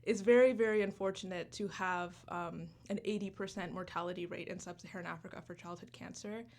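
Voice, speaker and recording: A woman's voice: on the low side, fairly even intonation, darkish tone, a little hiss or hum. A woman in her twenties, raised in Canada after an early childhood in Uganda, talking earnestly as a guest in a radio interview, with many "like"s and "um"s. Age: 20s. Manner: earnest, talks quickly with fillers like "like" and "um". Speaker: student club founder, guest. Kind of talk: radio interview, casual chat.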